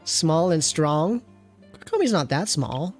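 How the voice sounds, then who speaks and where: A streamer giving casual, rambling game commentary, teasing and chatting with viewers, in a middle-pitched voice. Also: lively intonation, slow, soft background music.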